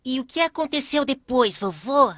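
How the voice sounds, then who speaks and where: A woman voicing a curious, reverent young grandson in an animated storybook. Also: sits high, moderate pace, dry recording, studio quality.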